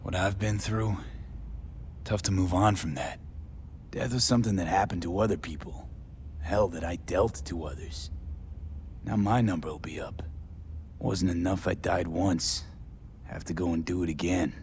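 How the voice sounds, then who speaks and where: A man's voice: low-pitched, moderate pace, pauses often, darkish tone, a little hiss or hum. An actor playing a hardened, weary male mercenary in a game cutscene, speaking in terse, sardonic, clipped lines. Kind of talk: video game cutscene acting.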